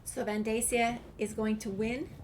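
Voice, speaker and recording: A woman's voice: on the high side, level tone, unhurried, a little mellow. A woman playing through a video game and reading the player's dialogue aloud. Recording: clear background noise, game ambience.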